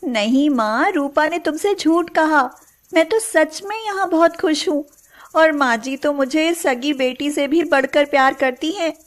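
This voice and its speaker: A woman's voice: high-pitched, a little slow. A woman playing a meek, long-suffering young daughter-in-law, plaintive, in a melodramatic cartoon moral tale. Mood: earnest, placating. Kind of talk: melodramatic moral story voice acting.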